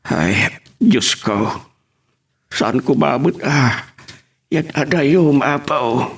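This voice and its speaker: A man with an Ilocano accent acting a fretful, exclaiming elderly father with a gravelly voice in an audio drama. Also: mid-range voice, expressive, extremely slow, heavily acted. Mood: concerned. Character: concerned elderly father, fussing over his son.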